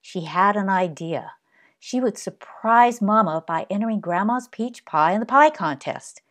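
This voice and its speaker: A woman narrating a children's story in an expressive read-aloud style. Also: medium pitch, a little slow.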